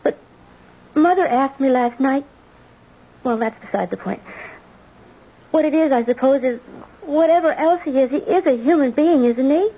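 Radio drama: shy, resolute eighteen-year-old girl, fairly high voice, dull vintage sound. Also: normal speed, pauses often, mellow. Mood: resigned, resolute. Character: sheltered eighteen-year-old heiress, shy but determined granddaughter. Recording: muffled old radio recording.

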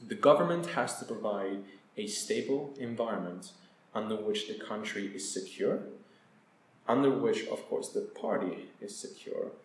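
A young man from Italy, a graduate guest answering interview questions in a measured way, with frequent filler pauses. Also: lowish voice, expressive. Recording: echoey room, faint hiss.

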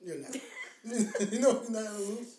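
A man's voice: sits high, unhurried, mellow, a little hiss or hum. A man in his thirties chatting casually as a podcast co-host, slangy and sweary with a teasing streak. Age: 30s. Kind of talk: casual chat about parenting. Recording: roomy, echoing sound.

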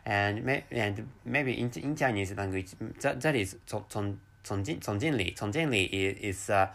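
A Japanese man speaking English with a Japanese accent as a second language, hosting a solo podcast in a halting way with many restarts and repeated words. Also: lowish voice, vocal fry, fairly bright tone. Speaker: podcast host.

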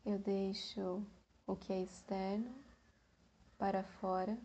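Very slow, soft-toned woman guiding meditation. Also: mid-range voice, flat delivery, slightly rough, highs cut off. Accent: Brazilian Portuguese. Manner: slow, gentle delivery with long pauses. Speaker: meditation guide. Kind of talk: guided meditation.

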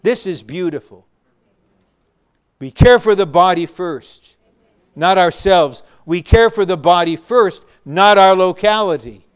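A man preaching a sermon, measured and emphatic, with bursts of shouting. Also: high voice, clear, resonant tone.

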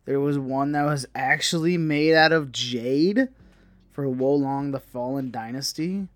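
A man co-hosting a podcast in casual nerdy banter, rambling haltingly and often repeating himself. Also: mid-range voice, no room sound. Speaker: podcast co-host. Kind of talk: casual nerd-news banter.

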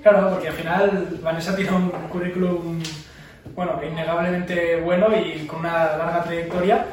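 Male podcast host, fairly high voice, echoey distant recording. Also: flat delivery, moderate pace, a little hiss or hum. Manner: brief backchannels, reads guest introduction. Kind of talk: podcast interview. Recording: echoey room, distant mic.

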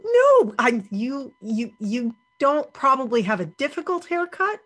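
A woman's voice: high-pitched, big pitch swings, unhurried, a bit bright. A woman co-hosting a movie podcast, teasing her co-host and laughing easily in casual chat.

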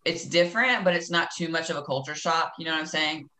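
A woman's voice: sits low, quick pace. North Carolina woman in an echoey room. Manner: animated storyteller, acts out quotes. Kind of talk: casual chat. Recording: roomy echo.

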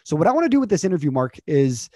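A man's voice: a little high, big pitch swings, racing, warm tone, no echo, clean studio sound. A man hosting an interview over a video call, encouraging his guest and often filling with "you know". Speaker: interview host, coach.

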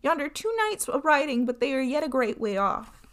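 A woman's voice: sits high, very animated, normal speed, fairly bright tone, voice pushed up. A woman voicing a watchful young sister reporting what she sees from a lookout, in a storybook reading.

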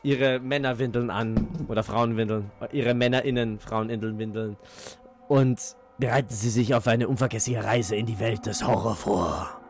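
A man's voice: lowish voice, steady tone, moderate pace. A man hosting a podcast in a casual, playful, jokey way, with swearing and asides. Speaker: podcast host. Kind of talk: casual chat with playful intro reading. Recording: loud music behind the voice. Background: eerie ambient music.

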